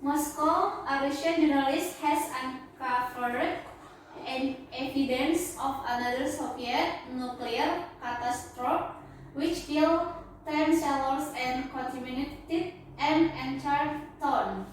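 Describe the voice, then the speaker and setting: A woman's voice: high-pitched, takes it slowly, distant mic. A female student, reading and answering carefully in class. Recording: echoey room from afar, faint noise.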